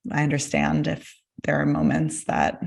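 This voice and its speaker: A woman who is a midwife, hosting an interview over a video call. She is gentle and affirming, often giving soft "mm" responses. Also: low voice, big pitch swings, normal speed, vocal fry. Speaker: host, licensed midwife. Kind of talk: interview host chat.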